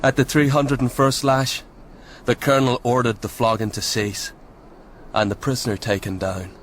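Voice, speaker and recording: An actor playing a weary, sombre soldier in a radio drama, speaking gravely and with measured, old-fashioned phrasing. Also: male voice, mid-range voice, level tone, slight background noise, natural voice. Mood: grave, haunted. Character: sombre Irish soldier sergeant, weary eyewitness storyteller. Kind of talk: radio drama acting and narration.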